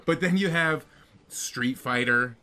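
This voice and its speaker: A man's voice: mid-range voice, takes it slowly, pushed and bright. A man co-hosting a casual podcast, chatting in a joking, rambling way. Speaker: podcast co-host. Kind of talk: casual banter about video game movies.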